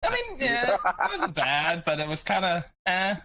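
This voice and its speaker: Male panelist, fairly high and bright, informal, courteous, hesitant delivery. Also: wildly swooping pitch, a little slow. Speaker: podcast panelist. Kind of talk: casual podcast chat.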